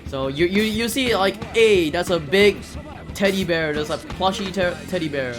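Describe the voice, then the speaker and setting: A man giving casual live commentary as he plays a video game, excitable and easily amused. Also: high voice, normal speed. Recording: game music playing behind voice. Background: video game music and sounds.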